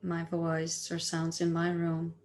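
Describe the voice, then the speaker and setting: A woman leads a guided meditation in a fairly low voice. She speaks very slowly and gently, leaving long pauses between phrases. Her delivery is soothing, like a teacher giving soft instructions. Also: completely flat delivery.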